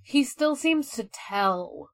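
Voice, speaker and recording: A woman's voice: high voice, expressive, slow, dry recording. A woman co-hosting a podcast, chatting casually in a loose, conversational way with lots of filler words. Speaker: podcast co-host. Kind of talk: casual chat commentary.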